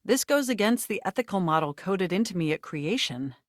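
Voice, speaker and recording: Game role: sentient home computer, female actor. Nerdy, verbose, formal robotic wording with very lively intonation and a dry studio sound. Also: mid-range voice, fairly quick, dry recording, natural voice. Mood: polite, precise. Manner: stilted, verbose, technical robotic phrasing. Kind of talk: scripted video game character voice acting.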